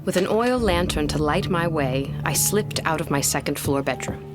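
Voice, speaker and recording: An actress playing a young woman who recounts a frightening vision in a gothic audio drama, fearful yet curious, in a deliberate, suspenseful way. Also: sits low, expressive. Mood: cautious, suspenseful. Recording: loud dramatic music under the voice.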